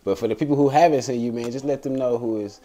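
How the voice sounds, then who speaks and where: A man's voice: medium pitch, fast talker, warm tone. A man hosting an interview, asking easygoing questions and filling with casual asides. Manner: easygoing, fills with "man" and "you know". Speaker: host.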